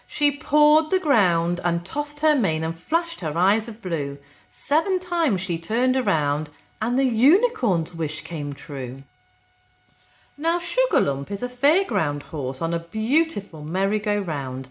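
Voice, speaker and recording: A woman reads a rhyming picture book to young children. Her medium-pitched voice is very animated, rising and falling with a rhythmic storyteller's cadence. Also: takes it slowly. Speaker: primary school storyteller. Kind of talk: expressive picture-book reading in rhyme.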